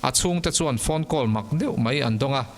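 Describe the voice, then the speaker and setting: A man's voice: mid-range voice, animated, normal speed. A man who is a native Mizo speaker, narrating mystery stories in a scripted storytelling style. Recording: long reverb, music underneath. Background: background music.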